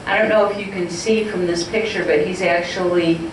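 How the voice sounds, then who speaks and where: A woman presenting old photos to a local group, chatting with many ums and pauses. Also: lowish voice, steady tone. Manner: hesitant, many ums, fond. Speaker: presenter of a community history session. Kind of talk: casual storytelling to a group. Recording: echoey hall, distant mic, noisy.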